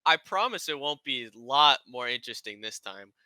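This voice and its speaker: An upbeat, excitable male phone guide for a game. His voice is very bright and crisp, with animated pitch. He stumbles eagerly through his words. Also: normal speed, no room sound. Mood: eager, flustered.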